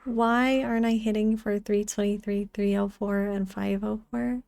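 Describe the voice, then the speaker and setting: A woman game streamer chats casually in a soft, mellow tone. Her voice is close and dry, like an informal livestream commentary with an easygoing flow. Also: mid-range voice, fairly even intonation, normal speed, gravelly, dry recording.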